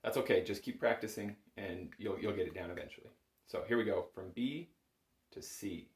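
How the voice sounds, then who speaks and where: Low-voiced man teaching in an echoey room. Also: normal speed. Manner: informal, encouraging, patient. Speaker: bagpipe chanter instructor. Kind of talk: casual step-by-step music lesson. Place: small indoor music room at home. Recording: roomy, echoing home room sound.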